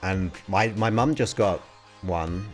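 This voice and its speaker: Deep-voiced relaxed male hypnotist host. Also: slow pace, faint music behind. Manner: relaxed, chatty, reads questions aloud and muses between them. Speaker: hypnotist host answering viewer questions. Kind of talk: casual live-stream Q&A chat.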